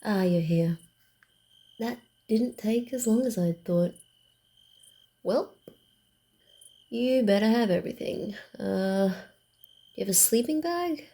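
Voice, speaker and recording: A woman voicing a wary, dryly teasing vampire in a soft ASMR roleplay, often dropping to a whisper, with a grumbling, hesitant manner. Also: medium pitch, expressive, slow, pauses often. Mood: guarded, bossy.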